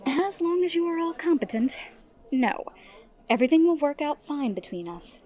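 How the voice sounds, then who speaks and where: A woman playing a confident, quick-witted mercenary in a fantasy audio drama, polite with a sardonic streak. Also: high-pitched, very animated, darkish tone. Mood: composed.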